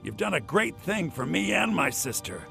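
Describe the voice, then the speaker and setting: An actor playing an excitable, grateful shopkeeper in a fantasy video game, with a halting, effusive manner. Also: male voice, a little high, creaky, full character voice. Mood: amazed relief. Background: music.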